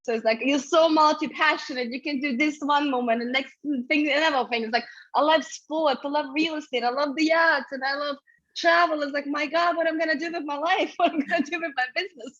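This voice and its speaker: Guest spot: Ukrainian-accented woman, effusive storyteller, slightly high voice, muffled call audio. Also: steady tone, brisk, fairly bright tone. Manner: effusive, multi-passionate storytelling with laughs. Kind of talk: casual video interview.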